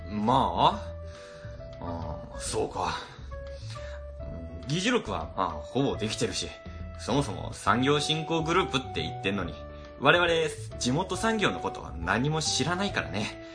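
A man's voice: mid-range voice, sing-song, a little slow, over music. A man playing a fussy, grumbling middle-aged section chief, fretful and talkative, in a radio drama. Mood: fussy, musing.

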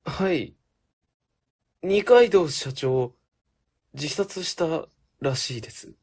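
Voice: mid-range voice, expressive, slow, a little mellow, husky, full character voice. An actor playing an earnest, polite junior office colleague in a radio drama, deferential and easily flustered. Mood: shaken. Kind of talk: web radio drama acting.

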